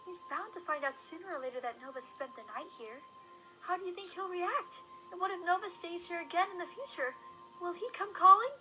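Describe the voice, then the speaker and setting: Pony wife and mother, played by a woman: bright, high-pitched, anxious, caring, audio drama delivery over faint music. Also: a little fast, heavily acted, poor audio. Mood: worried. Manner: worried, questioning.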